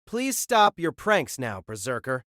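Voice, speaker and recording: A man's voice: medium pitch, very animated, unhurried, a bit bright, dry recording, studio quality. A man voicing a noble, chivalrous knight spearman who speaks formally and earnestly. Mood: stern warning.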